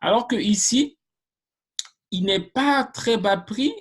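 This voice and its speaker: A marketing trainer giving a live online class, explaining basics step by step to viewers, often restarting sentences and pausing on filler sounds. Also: mid-range voice, slightly rough. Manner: addresses viewers directly and explains points step by step.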